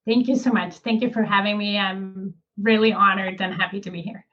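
A woman's voice: medium pitch, steady tone. Polish-accented woman coach, lo-fi call. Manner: warm, laughs easily, explains with pauses. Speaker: guest, business coach. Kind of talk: casual interview talk.

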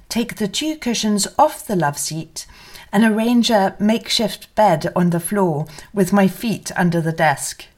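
A woman's voice: medium pitch, darkish tone. A woman narrating a first-person memoir as a scripted literary reading. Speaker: reader of memoir excerpts.

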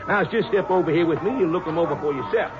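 A slick carnival showman acted by a man, florid and pompous, full of mock courtesy. His voice sits fairly high, in a dull old radio-drama recording with music. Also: fast talker, natural voice. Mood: smooth-talking. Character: wordy, evasive, slick male carnival showman. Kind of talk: 1950s radio drama acting.

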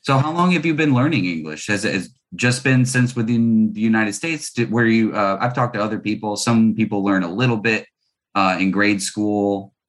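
A male interviewer with a very low voice, keeping the talk relaxed and casual. He asks loose, rambling questions and keeps the guest going with short, encouraging backchannels. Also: normal speed.

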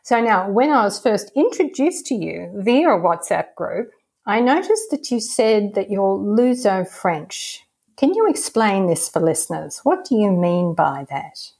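A woman hosting a podcast, reading a measured, formal introduction and then asking questions in a friendlier tone, recorded over a phone call. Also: medium pitch, big pitch swings, a little mellow, breathy edge. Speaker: podcast host. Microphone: phone over a messaging-app call.

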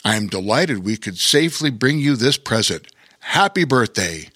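A man voicing a kind, cheerful pirate in a children's story, who explains things gently. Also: mid-range voice, sing-song, normal speed, no room sound, clean studio sound.

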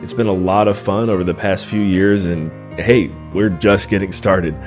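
Male podcast host, upbeat and friendly, lowish voice, faint guitar behind. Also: fairly even intonation, normal speed, a little mellow. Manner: enthusiastic, friendly, promotional. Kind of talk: upbeat podcast intro and interview questions.

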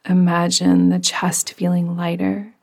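A woman guiding a relaxation meditation in a soft, breathy, partly whispery voice with a soothing, gentle, unhurried manner. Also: fairly low pitch, monotone. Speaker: yoga nidra meditation guide.

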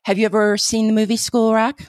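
A woman's voice: fairly high pitch, flat delivery, fast talker, no echo, studio quality. A woman hosting a podcast, chatting casually with her co-host and laughing easily.

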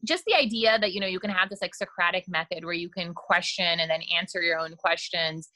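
Bright-voiced woman hosting a chat. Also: on the low side, a little fast, no room sound, rough recording. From India. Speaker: host. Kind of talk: casual panel chat.

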